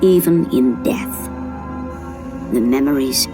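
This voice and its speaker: An actress playing a grave storyteller, narrating a mythic video game tragedy in a solemn, portentous way over music. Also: female voice, rumbling low, slow. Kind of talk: dramatic scripted game cutscene narration. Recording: loud music behind the voice.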